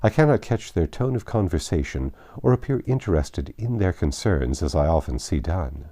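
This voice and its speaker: A man voicing a proud, reserved young gentleman in a storybook reading, formal and stiffly courteous. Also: low voice, lively intonation, normal speed.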